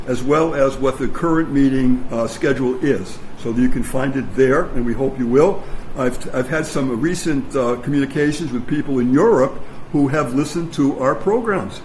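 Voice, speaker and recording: A man hosting a community meeting and interviewing a guest informally, with a halting delivery and repeated phrases. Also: medium pitch, a little mellow, poor audio. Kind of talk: informal spoken introduction and interview. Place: meeting room with an audience. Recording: live meeting recording with background noise.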